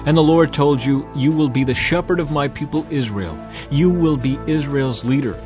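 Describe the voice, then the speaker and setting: A man's voice: on the high side, moderate pace, pitched above the performer's own voice, natural voice. Guy doing a loyal tribe elder talking to his king, formal and earnest, audiobook with music.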